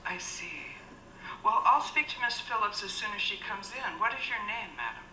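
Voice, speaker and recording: A woman playing a brisk, formal and polite nurses' registry clerk in a radio suspense play. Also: mid-range voice, lively intonation, bright, forceful tone. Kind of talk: radio suspense drama acting. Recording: roomy echo, faint background noise.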